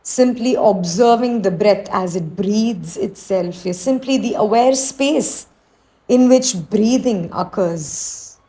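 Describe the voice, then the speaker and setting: A woman teacher leading a guided meditation, speaking in a soothing, unhurried, gently instructing way. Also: mid-range voice, low-quality recording.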